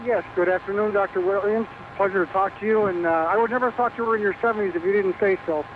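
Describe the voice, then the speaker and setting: Male caller, early forties, high register, courteous and measured, narrow phone sound. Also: steady tone. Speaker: caller to a radio show. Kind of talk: polite phone-in discussion. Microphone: telephone. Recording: tinny noisy phone line on radio.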